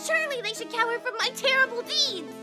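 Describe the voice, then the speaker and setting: Very high-pitched gloating giant goddess. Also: female voice, lively intonation, a little fast. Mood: exasperated. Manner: grandiose, theatrical gloating. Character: giant dark goddess, gleefully villainous but harmless and naive. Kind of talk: comedic fantasy audio drama acting. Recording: music playing loudly behind. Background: loud music.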